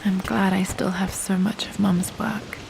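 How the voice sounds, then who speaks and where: Young British female adventurer, hushed, creaky, unhurried, pensive, game cutscene, ambient noise behind. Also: medium pitch, level tone. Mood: pensive, searching. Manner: hushed, breathy murmuring to herself. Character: young adult woman explorer, pensive, searching her childhood home. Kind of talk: video game cutscene acting, musing to herself.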